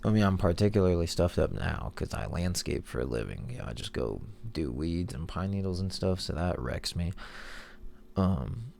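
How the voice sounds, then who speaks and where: A man's voice: low voice, lively intonation, moderate pace, vocal fry, darkish tone. A young man with a stuffed-up, nasal voice, rambling in a laid-back, slangy way as he comments over his own video. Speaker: video host. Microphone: headphones monitoring, voice-over mic.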